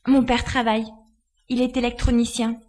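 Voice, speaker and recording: A helpful young girl, acted by a woman, speaks in a high voice. Her delivery is plain-spoken, as in an audio drama. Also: level tone, normal speed, a little mellow, close to an everyday voice. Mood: matter-of-fact. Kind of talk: French audio drama acting.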